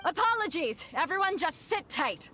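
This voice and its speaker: Bright, high-voiced tournament announcer. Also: female voice, sing-song, moderate pace, slight background noise, heavily acted. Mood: exasperated. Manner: showy, emphatic. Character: lively young female tournament announcer. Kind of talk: game voice acting.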